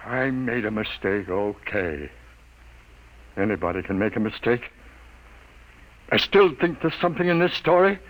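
An actor playing a gruff, sardonic newspaper city editor in his fifties, with wry, world-weary delivery in an old radio drama. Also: on the low side, animated, measured pace, pauses often, poor audio. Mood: stubborn. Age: 50s. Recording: vintage radio drama recording.

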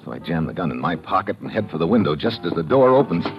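A man's voice: sits low, sing-song, quick pace, highs cut off. A man with an American accent playing a tough, wry agent, terse and slangy, narrating an old radio crime drama. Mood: tense narration. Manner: hard-boiled, terse, slangy. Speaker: lead actor and narrator. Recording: band-limited old radio recording, dramatic music.

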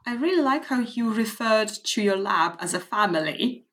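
A woman's voice: medium pitch, animated, moderate pace, fairly bright tone. Woman asking direct interview questions.

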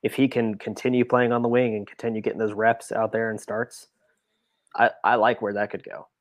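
A man's voice: lowish voice, flat delivery, fairly quick, dry recording. A man chatting casually on a sports podcast, stressing his points by repeating words emphatically. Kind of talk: casual soccer chat.

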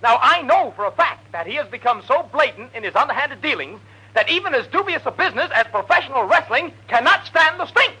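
An actor playing a crusading sports commentator on tape playback, declaiming accusations like a 1940s broadcaster. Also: male voice, very high-pitched, animated, brisk, sharp tone, husky, heavily acted, poor audio. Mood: accusing.

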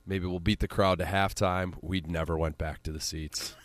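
A man's voice: low-pitched, fairly even intonation, moderate pace, no room sound. A man with a central Illinois accent, hosting a casual sports podcast with exasperated, sweary rambling.

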